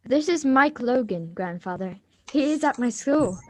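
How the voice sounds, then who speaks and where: A woman plays a polite schoolgirl in a radio drama. Her voice is fairly high and closely, dryly recorded. She is bookish and evasive, stumbling hesitantly over her words. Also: expressive, unhurried, a little mellow, no echo. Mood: flustered. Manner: hesitant, stammering. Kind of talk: live script reading of a radio drama.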